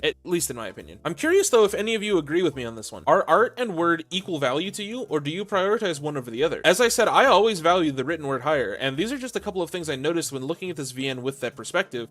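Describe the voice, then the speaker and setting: A man's voice: a little high, a bit bright. A man hosting a commentary video, delivering scripted narration with a wry, theatrical storytelling manner and conversational asides. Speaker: video host and reviewer.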